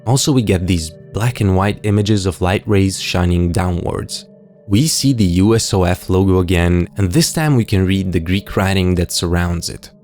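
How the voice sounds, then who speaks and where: A low-pitched male narrator walks through his analysis like a video essayist. His delivery is scripted yet conversational, speculative and explanatory, with dry asides. Faint music plays underneath. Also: moderate pace. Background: soft music bed.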